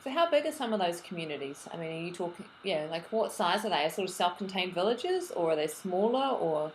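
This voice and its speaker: Lowish woman asking soft questions, echoey room, faint hum. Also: very animated. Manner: gentle, halting questions with soft backchannels. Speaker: interviewer. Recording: roomy echo with faint ambience.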